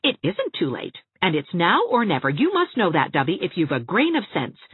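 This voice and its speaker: A woman voicing a brisk, exasperated young woman in an audiobook, sharp, insistent and scolding. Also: lowish voice, wildly swooping pitch, husky, no room sound, pitched above the performer's own voice, full character voice. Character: brisk, sarcastic, exasperated young woman, a bossy loyal friend. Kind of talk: audiobook character voice. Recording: thin phone-like narrow-band sound.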